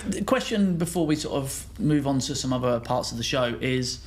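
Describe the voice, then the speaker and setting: A man hosts a podcast and asks long, rambling interview questions. His voice sits at a middle pitch, and he fills his talk with "sort of". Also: expressive, moderate pace. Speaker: podcast host.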